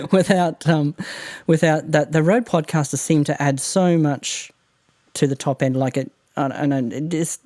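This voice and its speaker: Nasal aussie guy rambling about his mic setup, kinda high voice but mellow, dry close sound. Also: a little slow, no echo. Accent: Australian. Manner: chatty, rambling, laughs often. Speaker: podcast producer guest. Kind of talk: casual technical chat. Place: home room treated with mattresses. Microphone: condenser studio mic.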